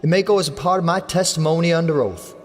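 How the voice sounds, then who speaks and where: A man's voice: a little high, fast talker, in a large hall, faint music behind, pitched above the performer's own voice, close to an everyday voice. An English man playing a young male writer giving touchy, clipped answers at an inquest. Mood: defensive. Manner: hot, clipped replies.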